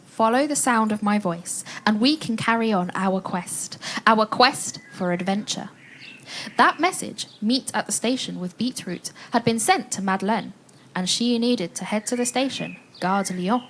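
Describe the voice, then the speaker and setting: An actress as a woman storyteller leading a children's audio adventure, theatrical and direct, leaving dramatic pauses. Her pitch sits in the middle for a woman. Clear ambient noise runs behind her. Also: a bit bright, close to an everyday voice. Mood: inviting, intriguing. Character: adventurous woman storyteller guiding listeners on a quest. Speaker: storyteller of a participatory audio play.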